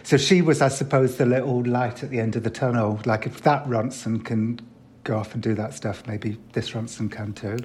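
A middle-aged man from Wales talks casually as an interview guest. His voice sits at an ordinary mid pitch. He tells self-deprecating stories with light chuckles. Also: steady tone, normal speed, darkish tone, slight background noise. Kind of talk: casual chat.

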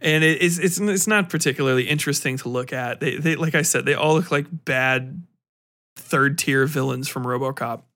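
A man hosting a podcast, giving casual, rambling commentary in an animated, slangy, profanity-laced way. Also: mid-range voice, moderate pace. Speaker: podcast host.

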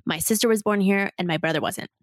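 Relaxed woman. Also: mid-range voice, fast talker, dry recording, clean studio sound. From Mexico City, Mexico. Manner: relaxed and candid, laughs easily. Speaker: podcast host and guest. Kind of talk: casual interview chat.

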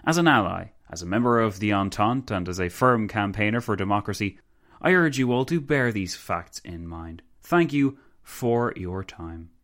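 A man's voice: on the low side, animated, normal speed, fairly bright tone. A man voicing a self-satisfied Romanian statesman who speaks in flowery, pompous oratory in a narrated story. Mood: smug, oratorical.

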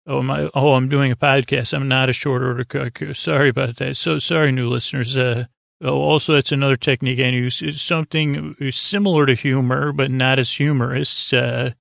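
A man with a gravelly, creaky voice rambling as host of a sleep podcast, halting and stumbling over words as the thought wanders. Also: mid-range voice, steady tone, fairly bright tone, no echo, clean studio sound.

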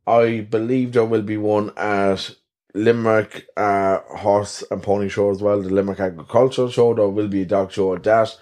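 A man's voice: fairly low pitch, warm tone. Chatty Irish man hosting a podcast. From Ireland. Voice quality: soothing voice. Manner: rambling, self-deprecating, chatty with fillers. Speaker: podcast host. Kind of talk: casual solo podcast chat.

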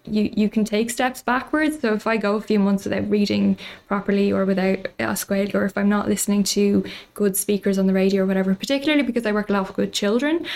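A woman with an Irish accent talks casually as a podcast guest. Her voice carries a gravelly fry, and she chats easily and laughs often. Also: medium pitch, darkish tone. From Ireland. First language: English. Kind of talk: casual chat.

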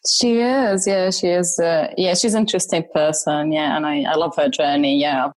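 A woman in her thirties from Syria talks eagerly as an interview guest, leaning on repeated words for emphasis. Her voice sits a little low. The sound is dry and close. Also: steady tone, normal speed, dry recording. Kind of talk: interview conversation.

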